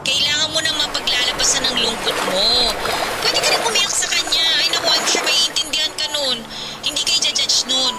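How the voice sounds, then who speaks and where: Chatty caring girl friend, high voice, bit bossy, music playing under. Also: lively intonation, fairly quick. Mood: encouraging. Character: caring young woman, close friend and coworker. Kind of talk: radio drama acting.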